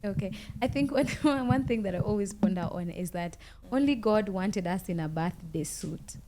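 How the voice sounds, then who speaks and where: A woman's voice: mid-range voice, moderate pace, noisy background. A young woman co-hosting a podcast in casual chat, reacting and chiming in with short agreements. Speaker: podcast co-host.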